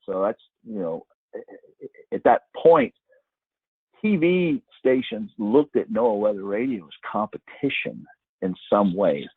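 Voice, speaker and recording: A middle-aged man with a husky voice giving an informal, conversational webinar talk as presenter, explaining things and reminiscing. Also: on the low side, animated, slow, no echo. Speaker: webinar presenter, former broadcast meteorologist. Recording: muffled narrow phone-like line.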